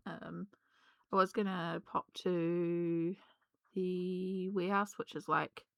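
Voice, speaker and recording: A woman, slightly hoarse from a cold, chatting casually and rambling with many ums while she colours. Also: on the low side, level tone, slow, no echo. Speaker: colouring vlogger.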